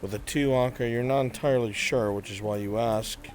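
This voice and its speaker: A man's voice: mid-range voice, steady tone, fairly quick, a little mellow. A man narrating an improvised roleplay scene to players as the game master.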